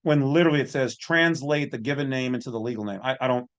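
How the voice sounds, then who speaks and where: A man's voice: medium pitch, fast talker, clean tone. A man with a mid-range voice hosts his own commentary channel. He talks informally and off the cuff, in a folksy, colloquial way, and states his opinions freely. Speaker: host of an online legal commentary channel.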